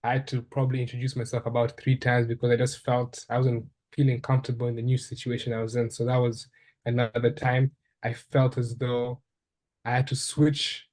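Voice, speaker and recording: Young Ugandan-born man, flat and mellow. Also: medium pitch, fairly quick. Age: young adult. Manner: thoughtful, with frequent ums and restarts. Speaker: interviewee, undergraduate student. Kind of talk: reflective casual conversation.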